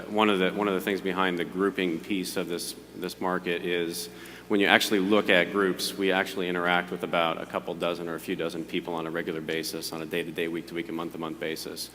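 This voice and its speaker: A man pitching his startup product to judges, eager and quick, repeating his words. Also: level tone, pushed and bright, in a large hall, slight background noise. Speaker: startup founder presenting.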